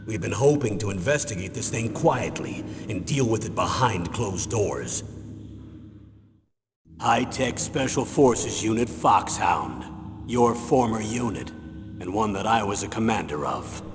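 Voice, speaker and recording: Game commander, older, stern and measured, lowish voice, echoing hall. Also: male voice, lively intonation. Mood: grave, imposing. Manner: deliberate, grave, authoritative. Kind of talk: dramatic mission briefing in a video game. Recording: reverberant, noisy game audio clip.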